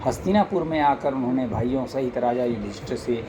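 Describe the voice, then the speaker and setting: Man, medium pitch, formal devotional scripture reading, occasional stumbles, noisy amateur recording. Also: brisk. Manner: formal recitation with occasional stumbles and repeated words. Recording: noisy amateur recording with steady background noise.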